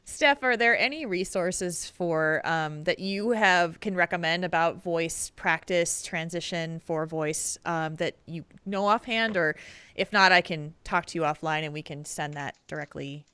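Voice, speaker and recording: A woman with a bright, forceful voice hosts a casual podcast chat. She speaks earnestly and laughs easily. Her sound is dry and close to the mic. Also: lowish voice, measured pace, clean tone, no room sound. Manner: earnest, friendly, frequent laughs. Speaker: podcast host.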